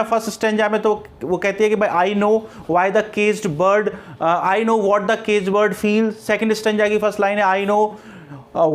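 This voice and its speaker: A man with an Indian accent teaching an exam-preparation lesson in a didactic way, repeating key points and often checking in with short tags. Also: sits high. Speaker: English literature lecturer. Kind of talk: exam-preparation lesson mixing explanation and read-out questions.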